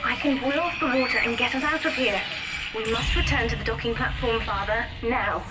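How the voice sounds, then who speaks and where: High-voiced, coldly devoted teenage daughter played by a woman. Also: fairly quick, close to an everyday voice. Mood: determined, intimate. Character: ruthless, devoted teenage daughter with cold resolve. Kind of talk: dramatic video game acting. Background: music.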